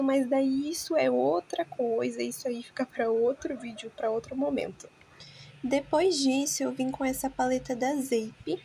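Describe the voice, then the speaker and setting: A young Brazilian woman with a slightly hoarse, nasal voice from a cold, chatting casually to viewers as she narrates a makeup tutorial. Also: sits high, darkish tone, a little hiss or hum. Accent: Brazilian Portuguese. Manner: chatty, informal, talks straight to viewers. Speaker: makeup vlogger.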